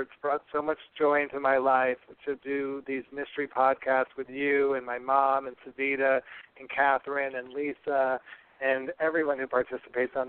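A man's voice: medium pitch, clear, resonant tone. A man hosting a podcast interview in a casual, chatty way, effusive and heartfelt. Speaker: podcast host. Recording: narrow phone-like sound.

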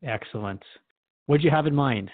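A man's voice: medium pitch, brisk, dry recording, phone-quality sound, natural voice. A man playing a thoughtful, friendly radio host who asks probing questions in a conversational radio drama interview.

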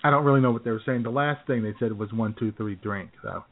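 A man's voice: lowish voice, fast, phone-quality sound. A man co-hosting a comedy radio show in casual banter, recorded with thin, band-limited sound.

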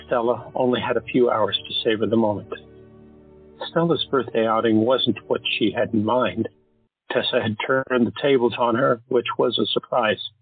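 Male drama narrator, husky, phone-line tinny, soft music under. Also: medium pitch, no echo. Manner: measured, storytelling delivery. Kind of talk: scripted audio drama narration.

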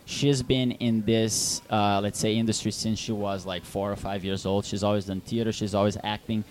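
Brazilian man, hesitant low voice. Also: flat delivery, moderate pace, a little mellow, a little hiss or hum. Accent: Brazilian Portuguese. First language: Portuguese. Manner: hesitant, many fillers and restarts. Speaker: film director. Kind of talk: casual interview answers.

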